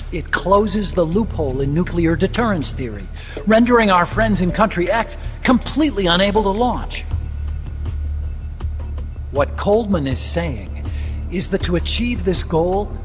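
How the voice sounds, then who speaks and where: An eager, talkative man of science in a video game cutscene, with a fairly high-pitched voice. He lectures emphatically about his machines. His words ring through a long reverberant space over prominent music. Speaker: weapons engineer character. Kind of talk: dramatic game cutscene dialogue. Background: prominent background music.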